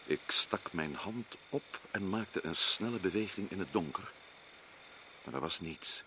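A man's voice: low-pitched, animated, measured pace, bright, forceful tone, a little hiss or hum, exaggerated voice. A man playing a stern expedition leader in a radio play, questioning and giving curt orders. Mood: reading aloud. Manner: probing, commanding.

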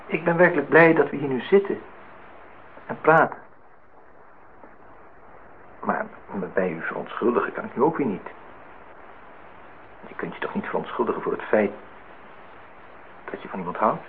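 A man's voice: medium pitch, expressive, moderate pace, pauses often, natural voice. An actor playing an earnest, wistful man in his thirties in a radio drama, speaking formally and reflectively. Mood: earnest, regretful. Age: 30s. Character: earnest, wistful 32-year-old man in love, self-reproaching. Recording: thin phone-like sound, noisy old radio recording.

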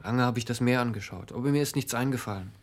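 A man acts out a young village postman in a radio play, hopelessly in love and naive. His middle-pitched voice is earnest and simple, always pleading for help from someone wiser. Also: fast, lightly acted. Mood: shy, earnest. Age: young adult. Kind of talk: German radio play acting.

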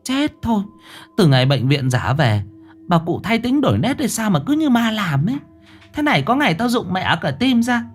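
A shrill, frantic old village wife, as a male storyteller puts her on, stammering and tripping over her words. Her voice jumps high and swings wildly in pitch. Faint music runs underneath. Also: very animated, moderate pace. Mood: scolding.

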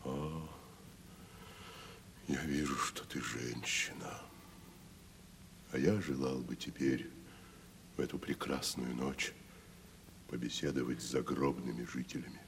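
An actor playing a gloomy, grandiloquent wandering tragedian in a 1970s Soviet radio play, with a declamatory, theatrical delivery. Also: male voice, extremely low pitch, fairly even intonation, slow, vocal fry, pauses often, exaggerated voice. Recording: noisy old radio play recording.

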